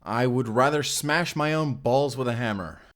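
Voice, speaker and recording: A man playing a brooding, hot-tempered Spanish vampire slayer in an audio drama, gruff and curt. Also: mid-range voice, moderate pace, fairly bright tone, close to an everyday voice. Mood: scornful. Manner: gruff, curt, easily angered. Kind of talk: cinematic audio roleplay acting.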